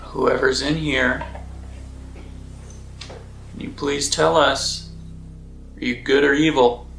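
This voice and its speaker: A man's voice: mid-range voice, steady tone, slow, gravelly, fairly bright tone. A man on a paranormal investigation team, uneasy as he reports what his devices are picking up. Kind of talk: ghost-hunting commentary. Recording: roomy echo, faint music.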